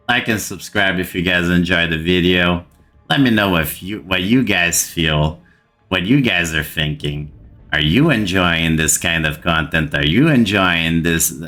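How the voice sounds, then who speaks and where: Brazilian guy ranting about a game, slow and deliberate, bright edgy tone, low-ish voice, faint music under it. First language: Portuguese. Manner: exasperated, repeats words for emphasis. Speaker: gaming channel host. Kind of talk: frustrated gaming rant to camera.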